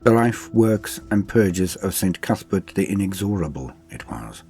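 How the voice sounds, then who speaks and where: A man's voice: low voice, sing-song, measured pace, a little mellow, faint music behind. A man giving a solemn, theatrical dramatic reading of a science fiction war story as its narrator.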